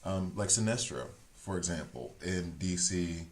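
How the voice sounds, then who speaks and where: A man's voice: sits low, expressive, measured pace, a little hiss or hum. A man streaming a game and chatting casually, rambling, restarting sentences and correcting himself as he thinks aloud. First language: English. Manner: talks things through as he thinks. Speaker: gaming streamer.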